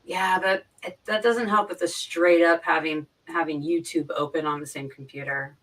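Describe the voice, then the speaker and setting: A woman talking casually on a livestream, explaining things informally and haltingly, often repeating her phrases. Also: on the low side, fairly even intonation, a bit bright. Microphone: boom mic, sitting back from it. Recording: distant from the microphone.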